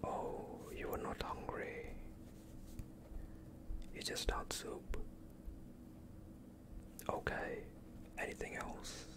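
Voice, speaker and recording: Slow whispering young man, ASMR. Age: young adult. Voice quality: breathy unvoiced whisper. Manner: calm, soothing, unhurried questioning. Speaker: ASMR performer playing a doctor. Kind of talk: whispered ASMR medical roleplay.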